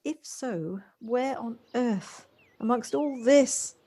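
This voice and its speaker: Polite, higher-voiced woman acting in audio drama. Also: slow, dark tone. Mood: puzzled, wistful. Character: Jocelyn, polite warm woman settling into a house. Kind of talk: lockdown audio play acting. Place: at home. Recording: home recording on personal device, faint noise.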